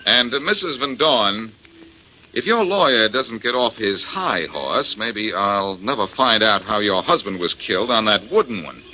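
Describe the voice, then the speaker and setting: Wisecracking low-voiced radio detective. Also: male voice, expressive, unhurried, sharp tone, full character voice. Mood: wry, needling. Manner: glib, sardonic, needling. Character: wisecracking, self-assured male amateur detective. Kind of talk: 1940s radio detective drama acting. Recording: muffled, hissy 1940s radio broadcast.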